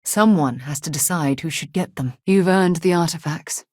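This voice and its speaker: A woman playing a composed, principled space explorer in a video game, with articulate, measured and formal phrasing. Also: low-pitched, a little mellow, no echo, clean studio sound. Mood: measured, thoughtful. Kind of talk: video game character dialogue.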